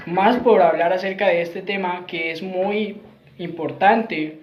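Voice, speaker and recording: A man giving an earnest Bible teaching sermon, repeating his phrases and often ending with tag questions. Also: sits high. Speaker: Messianic preacher. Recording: roomy, dull lo-fi sound.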